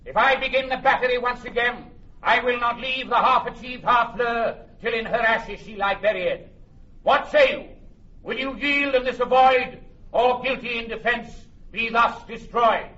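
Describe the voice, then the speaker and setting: Heroic, high-ringing warrior king. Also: pushed and bright, exaggerated voice. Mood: stern, threatening. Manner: declamatory, rousing, drawn-out emphatic phrases. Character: heroic, commanding young warrior king of England. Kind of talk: Shakespearean radio drama acting. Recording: muffled old radio recording.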